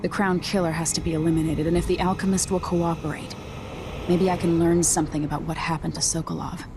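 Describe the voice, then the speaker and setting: A woman's voice: fairly low pitch, level tone, fast talker, music in the background, close to an everyday voice. A young woman playing a determined, vengeful empress in a serious, restrained video game performance. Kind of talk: dramatic game acting, brooding narration.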